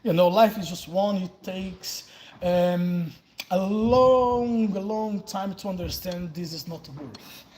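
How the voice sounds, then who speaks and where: A Brazilian-accented man in his forties talks casually to his viewers, swinging from old stories to answering their comments. His voice sits high for a man. He is picked up by a phone lying on the floor of a wide warehouse, with faint background noise. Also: slow pace, a little mellow. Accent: Brazilian Portuguese. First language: Portuguese. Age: 40s. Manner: storytelling, chatty, reacts to viewer comments. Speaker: vlogger. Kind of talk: casual livestream chat. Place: large open warehouse, indoors. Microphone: phone camera set on the floor.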